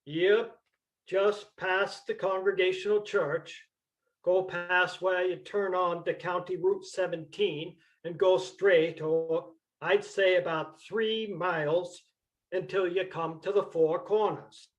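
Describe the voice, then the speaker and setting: A man playing a terse, deadpan old farmer from Vermont in an amateur staged play reading. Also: high voice, takes it slowly, poor audio.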